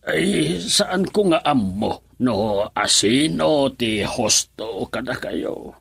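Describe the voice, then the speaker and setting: A man's voice: mid-range voice, animated, takes it slowly, vocal fry, a bit bright, husky, no room sound, heavily acted. A man with an Ilocano accent playing a firm older adviser to a troubled married couple in a radio drama. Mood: grave, explaining.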